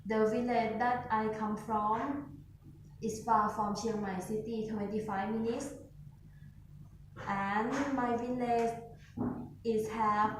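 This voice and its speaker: A woman from northern Thailand with a Thai accent, answering speaking-test questions in halting English with pauses. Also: medium pitch, a little hiss or hum. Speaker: test candidate. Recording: echoey room, voice from far off.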